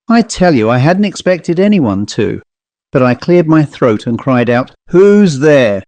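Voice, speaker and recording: A man's voice: medium pitch, darkish tone, dry recording. A man voicing a plain-spoken travelling salesman telling a grim storybook tale in a dry, colloquial, conversational manner. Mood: uneasy, confiding. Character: haunted male commercial traveller, plain-spoken teller of grim tales. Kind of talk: dramatised storybook monologue.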